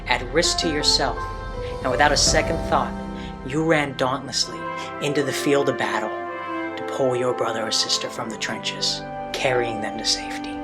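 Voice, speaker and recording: A man's voice: mid-range voice, steady tone, normal speed. A man reading a solemn, scripted tribute about comrades in battle. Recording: reading over loud music.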